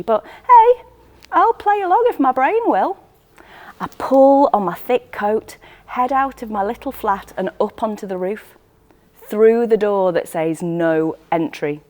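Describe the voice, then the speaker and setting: A woman acting a first-person monologue as a lonely, wistful choir member, intimate and expressive in short clipped phrases. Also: mid-range voice, close to an everyday voice. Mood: giddy, defiant.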